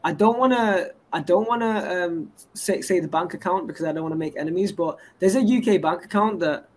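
Young guy bragging about his ventures, rambly, kinda high voice, light background noise. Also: a little fast. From England. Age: 20s. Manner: boastful and rambling, stacks up credentials. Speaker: guest entrepreneur. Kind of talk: casual interview talk.

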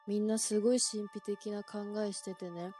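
A woman co-hosting a casual group chat, giggly and quick to react. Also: medium pitch, fairly even intonation, dark tone, soft background music. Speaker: podcast co-host and amateur voice actor.

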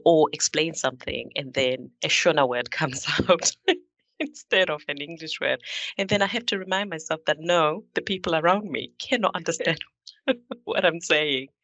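A woman from Zimbabwe hosting a podcast panel discussion, speaking in a measured way with frequent fillers. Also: low voice, fairly bright tone, dry recording. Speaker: podcast host.

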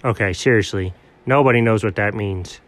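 A man's voice: lowish voice, normal speed, a bit bright, lightly acted. A man voicing an exasperated, argumentative pet dog in comic dialogue, with a matter-of-fact, contradicting manner.

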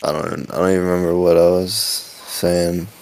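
A man's voice: low voice, flat delivery, brisk, a loud crowd. A man on a comedy podcast, chatting casually and telling stories in a joking way. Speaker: comedy podcast guest or co-host.

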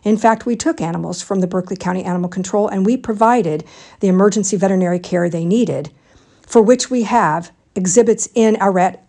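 A woman, an organization's president, delivering a prepared statement in a formal, measured and emphatic way. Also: fairly low pitch, darkish tone.

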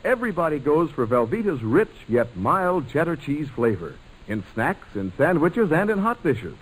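A man's voice: on the high side, sing-song, moderate pace, a little hiss or hum, low-quality recording. A man announcing in polished, upbeat 1940s radio style, selling and narrating. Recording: old radio broadcast transcription.

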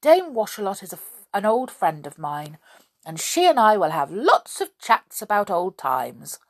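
A woman's voice: medium pitch, big pitch swings, unhurried. A woman voicing a cross, haughty old woman in a children's storybook, snappish and scornful.

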